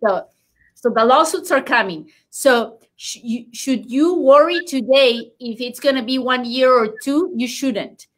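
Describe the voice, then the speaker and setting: A woman with a Spanish accent, a native Spanish speaker, answers interview questions as an immigration lawyer. She is emphatic and reassuring and hammers home key points in a somewhat high voice. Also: unhurried, few pauses. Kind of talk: explaining legal news in an interview.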